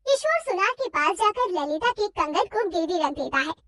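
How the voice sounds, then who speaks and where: A woman narrating a scripted cartoon story in a plain, steady storytelling manner. Her voice is very high and bright, recorded dry and close. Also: moderate pace, no echo.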